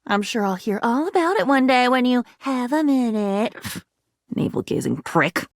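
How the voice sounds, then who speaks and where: A woman's voice: a little high, very animated, measured pace, dry recording, clean studio sound. An actress voicing a living diary in a video game, a wounded, sardonic woman with a theatrical, brooding manner. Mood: sardonic, manic, then mocking, whimsical. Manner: theatrical, sardonic, brooding, swinging between muttering and outbursts. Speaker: video game character voice actor. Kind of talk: dramatic video game voice acting.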